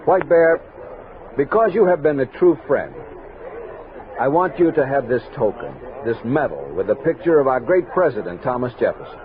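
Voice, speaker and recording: An actor playing a steady, courteous, dutiful army captain in a 1960s radio western, speaking in an earnest, formal way. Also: male voice, mid-range voice, normal speed, close to an everyday voice. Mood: warm, earnest. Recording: muffled old radio recording, background noise.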